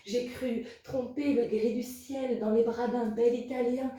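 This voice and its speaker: A woman from Belgium reciting spoken-word poetry as a slam poet, in a measured, rhythmic, incantatory way. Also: fairly high pitch, steady tone, warm tone. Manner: measured, rhythmic, incantatory delivery with repeated refrains. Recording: very echoey, distant from the mic.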